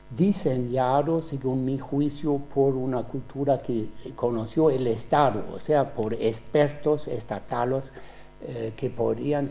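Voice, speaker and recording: Guy, slow and halting, gravelly mellow voice, faint hum behind. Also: medium pitch. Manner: slow, halting, many filler sounds. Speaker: thesis examiner. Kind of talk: formal academic questioning in non-native Spanish.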